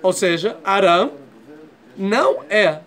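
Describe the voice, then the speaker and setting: Man teaching a torah class, high voice, patient, walks through each point. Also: takes it slowly, a bit bright. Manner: explains step by step, checks in with "tá?". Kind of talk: classroom lecture.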